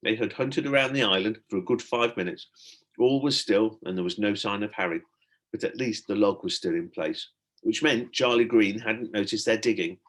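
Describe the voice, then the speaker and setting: A man narrating a children's storybook in an expressive, dramatic storytelling manner, like a teacher reading aloud. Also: lowish voice, moderate pace.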